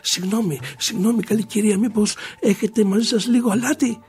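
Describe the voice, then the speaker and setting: A man's voice: high-pitched, a little mellow, soft background music, pitched above the performer's own voice, close to an everyday voice. A man voicing a flustered, polite sailor in a folk tale, apologetic and pleading. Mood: anxious, apologetic. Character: flustered polite sailor begging passengers for salt.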